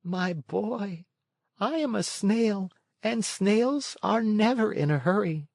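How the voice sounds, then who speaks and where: Storybook lady snail, played by a man: placid, unhurried, very high-pitched, dry close sound. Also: gravelly, dry recording, exaggerated voice. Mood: calm, unhurried. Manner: calm, peaceful, unhurried. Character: slow, calm, unhurried female snail servant.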